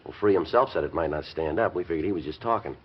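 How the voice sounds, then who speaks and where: Clipped 1950s radio cop. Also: male voice, sits low, slightly rough. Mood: defensive. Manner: brisk, matter-of-fact. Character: clipped male 1950s police detective. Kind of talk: 1950s radio police drama. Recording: noisy, dull old radio recording.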